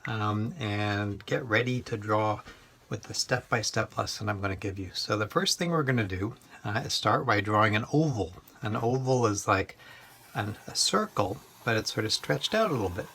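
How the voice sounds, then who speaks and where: A man giving a simple drawing lesson for young children, explaining step by step in a halting, gentle way. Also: lowish voice, a little hiss or hum.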